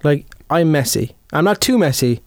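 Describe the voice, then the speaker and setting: A man's voice: on the high side, lively intonation, normal speed, darkish tone, no room sound, studio quality. A young man co-hosting a podcast, joking around in easygoing casual chat. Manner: easygoing, jokey banter. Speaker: podcast co-host.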